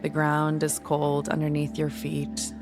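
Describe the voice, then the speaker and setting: Slow, low-voiced woman guiding meditation. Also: monotone. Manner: soothing, gentle, unhurried instruction. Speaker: guided meditation guide. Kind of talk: guided meditation narration. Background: music.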